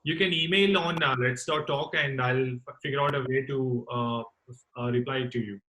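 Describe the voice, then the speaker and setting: Halting, courteous male talk show host. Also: medium pitch, fairly even intonation, bright, forceful tone, rough recording. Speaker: host of a campus radio talk session. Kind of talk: spoken hosting of an online call-in session. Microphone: online group call.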